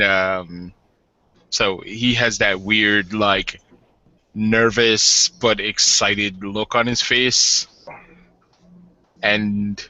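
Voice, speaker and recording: A man narrates a tabletop game informally, feeling his way through phrases. His voice is fairly low yet very sharp and bright, recorded a little dully. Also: no echo. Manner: halting, informal. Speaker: game master. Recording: slightly dull home mic.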